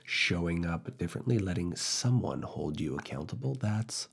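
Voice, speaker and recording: A man playing a firm, teasing, quietly seductive university professor in soft, intimate ASMR roleplay, speaking deliberately in a husky, breathy voice with whispered phrases. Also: animated, full character voice. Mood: firm, instructive. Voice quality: husky, breathy, low gravelly voice. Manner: slow, deliberate, pauses between short phrases, slipping into whispers.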